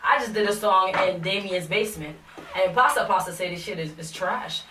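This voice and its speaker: A woman's voice: mid-range voice, fairly quick, sharp tone, distant mic, a little hiss or hum. A young woman co-hosting a music talk show in a small radio studio, talking bluntly and animatedly with frequent laughs. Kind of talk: casual studio chat. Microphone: desk microphone. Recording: echoey room, a bit off mic.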